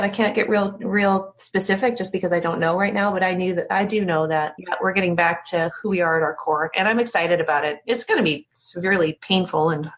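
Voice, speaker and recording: A woman speaking as an interview guest in a casual, candid and chatty way, reflecting openly on herself. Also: fairly low pitch. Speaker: podcast guest, company founder.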